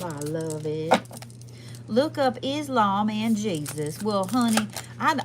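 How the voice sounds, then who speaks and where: A woman's voice: on the low side, noisy background, natural voice. A woman playing a sassy, foul-mouthed, folksy Southern woman with a twang, chatting casually as a livestream host. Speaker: livestream host doing readings. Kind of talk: casual livestream chat in character.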